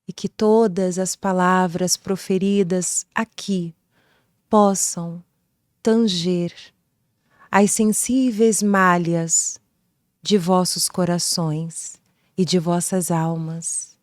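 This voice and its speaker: A woman from Rio de Janeiro with a carioca accent, a singer guest who speaks in slow, soft, poetic phrases and leads a calm prayer. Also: lowish voice, no echo, clean studio sound. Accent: Rio de Janeiro Brazilian Portuguese. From Rio de Janeiro, Brazil. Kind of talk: leading a prayer, then interview answers.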